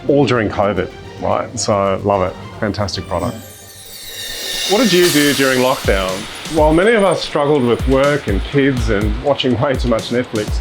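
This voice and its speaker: A man with a medium-pitched voice presents in a conversational style. Music runs clearly underneath his words.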